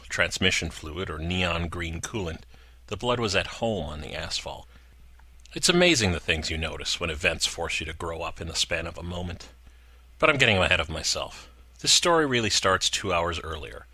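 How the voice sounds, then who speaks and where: A man narrating a horror story in a slow, deliberate way with dramatic pauses. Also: low voice, big pitch swings, bright, forceful tone, no echo.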